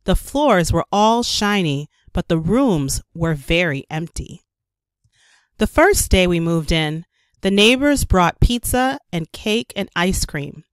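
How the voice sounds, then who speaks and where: A woman reading a children's story aloud as a storyteller, with careful, expressive narration for young children. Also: lowish voice, slow pace, dry recording. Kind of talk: scripted read-aloud narration.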